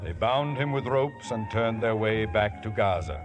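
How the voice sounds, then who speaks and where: Grave older-style radio narrator guy, lowish voice, biblical story, music under him. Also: lively intonation, unhurried. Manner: grave, formal, archaic phrasing. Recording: old radio broadcast with music.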